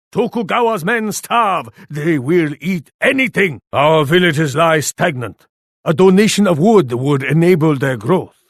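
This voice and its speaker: A haughty warlord from a strategy video game, with a gravelly edge and a slightly raised male pitch. He makes formal, commanding pronouncements that swing widely in tone. He sounds proud and imperious throughout. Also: dry recording, studio quality, full character voice. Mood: demanding. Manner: haughty, commanding, formal, deliberate third-person declarations. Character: proud, imperious Japanese warlord who speaks of himself grandly. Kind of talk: dramatic video game voice acting.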